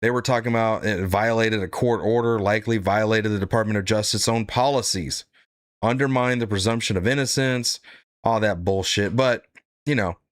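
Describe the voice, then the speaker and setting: A man's voice: lowish voice, normal speed, no echo, studio quality. A man hosting casual political reaction commentary, blunt and profane, rambling with frequent "right?" tags.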